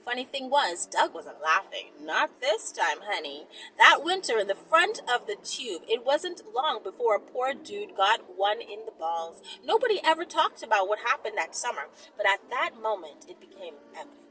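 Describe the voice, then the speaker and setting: A woman reading a story aloud in an expressive, informal, giggly manner with chatty asides. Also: on the high side, normal speed, few pauses, rough recording.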